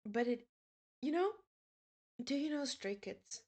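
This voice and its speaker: A young woman in her twenties chats slowly and easily with her live stream audience, her voice sitting a touch high. She giggles often and answers viewers' messages one by one in a relaxed, talkative way. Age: 20s. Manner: chatty, giggly, reads chat aloud. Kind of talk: casual chat with stream viewers.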